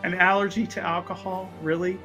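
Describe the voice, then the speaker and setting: Man playing a high-pitched, cocky party ringleader. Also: little pitch movement, a little fast, over loud music. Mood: pushy. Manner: pushy, hyping, casual swearing.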